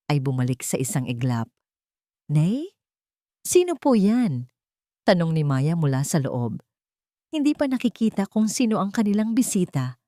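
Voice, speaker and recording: A woman's voice: low voice, mellow, no room sound, studio quality. A woman storyteller reading a dramatic scripted narration.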